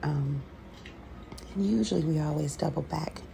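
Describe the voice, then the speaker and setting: A woman speaks in a low register with very heavy, gravelly fry. She takes her time, explaining in a conversational, halting way as an ASMR voiceover host, with pauses between phrases. Clear ambient noise sits behind her voice. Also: dark tone. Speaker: host, scratch therapy practitioner. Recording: clearly audible background noise.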